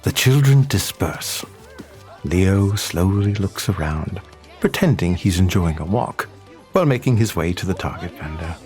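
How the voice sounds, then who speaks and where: A man's voice: low voice, lively intonation, a little slow, darkish tone, faint music behind. A man narrating a scripted audio adventure as a storyteller.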